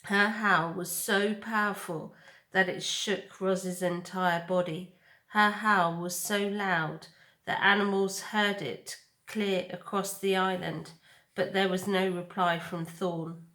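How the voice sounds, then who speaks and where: A woman reading children's fiction aloud as a deliberate, expressive storyteller. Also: medium pitch. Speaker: storybook reader. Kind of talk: dramatic read-aloud narration of children's fiction. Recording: echoey room.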